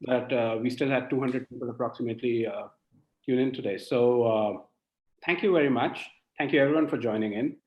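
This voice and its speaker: Relaxed male host in an echoey room. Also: mid-range voice, a little fast. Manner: relaxed, light-hearted questioner. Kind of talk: hosting a live online interview. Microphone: video call. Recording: roomy, dull lo-fi call audio.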